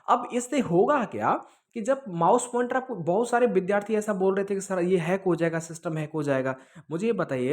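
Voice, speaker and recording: Male online exam coach, informal and explanatory, checks in often, code-mixes English. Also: sits high. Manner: explanatory, repeats himself, with check-in tags; mixes English words into speech. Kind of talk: informal online lesson, answering student questions.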